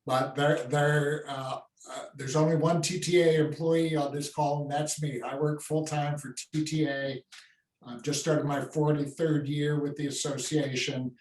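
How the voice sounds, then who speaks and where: An older man addresses members of his association over a conference call, unhurried and plain, stopping to restart his sentences. His voice sits a little high and comes from far off the mic in an echoey room. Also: level tone, a little mellow. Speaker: association CEO.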